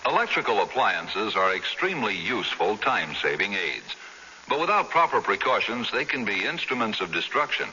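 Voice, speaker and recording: A man's voice: on the low side, big pitch swings, normal speed. A man reading a scripted public service announcement for radio. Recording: hissy lo-fi radio recording.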